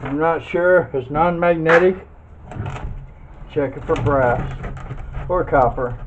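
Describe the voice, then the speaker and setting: A man's voice: a little high, slow. A man giving a casual hands-on demonstration as a video host, folksy and thinking aloud while he works. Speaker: video host demonstrating scrap metal teardown. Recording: dull lo-fi sound, faint noise and clicks.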